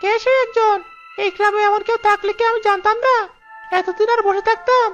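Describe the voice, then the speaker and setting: A boastful but hesitant village crook in a cartoon. The voice is extremely high, like a child's, and rattles along quickly. The sound is dull, over faint music. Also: steady tone, fairly bright tone. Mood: complaining. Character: squeaky senior village thief, boastful yet hesitant. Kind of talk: cartoon dialogue. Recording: dull lo-fi audio with music behind.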